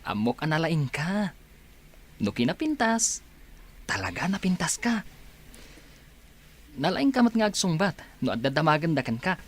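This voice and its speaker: A man's voice: a little high, sing-song, measured pace, long pauses. A man playing a friendly young student athlete in a radio drama, pleading and coaxing as he talks. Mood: encouraging.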